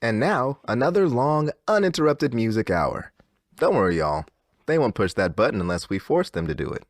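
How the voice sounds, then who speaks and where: A man's voice: mid-range voice, very animated, moderate pace, natural voice. An actor playing a folksy, reassuring male radio DJ doing parody between-music patter.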